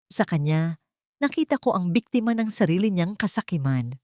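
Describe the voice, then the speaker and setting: A woman's voice: on the low side, sing-song, moderate pace, darkish tone, no room sound, studio quality. A woman with a Filipino accent reading a scripted dramatic story in the first person as a narrator.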